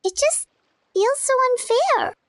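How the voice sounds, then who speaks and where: A woman voicing a small childlike fairy companion, earnest and outspoken, with a squeaky voice in a video game. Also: animated, takes it slowly, heavily acted. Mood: indignant, sympathetic. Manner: squeaky, refers to self in third person. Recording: faint music behind the voice.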